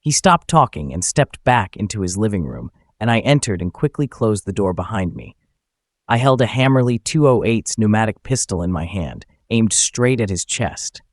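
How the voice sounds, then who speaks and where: Man narrating a story, lively pitch swings. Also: normal speed, no echo, studio quality. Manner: acts out the dialogue. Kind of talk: scripted first-person story narration.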